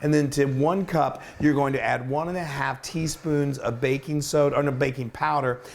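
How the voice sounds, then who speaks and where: Hoarse, raspy man hosting. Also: medium pitch, steady tone, normal speed. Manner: chatty and upbeat, with stumbles. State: hoarse from a cold. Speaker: cooking show co-host. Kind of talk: casual cooking chat.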